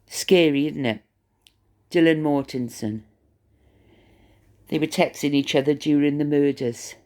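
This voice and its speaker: Welsh accent, chatty gossipy vlog rambling, keeps stopping and repeating words, medium pitch voice. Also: fairly even intonation, measured pace, dark tone. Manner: chatty, stop-start, repeats words while thinking aloud. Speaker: channel host. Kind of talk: rambling gossip commentary to camera.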